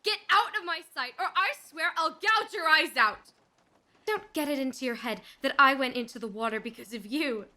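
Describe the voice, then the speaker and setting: Despairing young woman, played by an actress, radio drama. High, sharp voice, defiant and scornful. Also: normal speed. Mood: furious, defiant. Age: young adult. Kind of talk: radio play acting.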